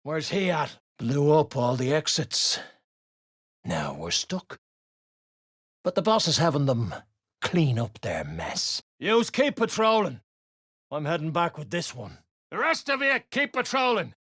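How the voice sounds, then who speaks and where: An actor voicing a gruff, surly dwarven raider guard in a video game, coarse and slangy, grumbling and barking orders. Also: medium pitch, wildly swooping pitch, measured pace, a bit bright, no room sound, studio quality, exaggerated voice. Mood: barking, hostile. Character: gruff, surly duergar raider guard, rough-tongued underling. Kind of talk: video game character voice lines.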